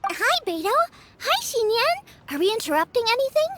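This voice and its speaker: Really high girly young cook, eager and sweet, bouncy game voice. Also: very animated, moderate pace, a bit bright, a little hiss or hum, exaggerated voice. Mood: friendly. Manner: girlish, earnest. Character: eager, sweet, polite teenage girl chef. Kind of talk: animated video game voice acting.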